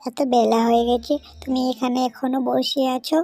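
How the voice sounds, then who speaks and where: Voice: medium pitch, level tone, normal speed, creaky, warm tone, faint music behind. A performer voicing a nagging, impatient wife animal in a village cartoon, scolding and demanding. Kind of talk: Bengali cartoon voice acting.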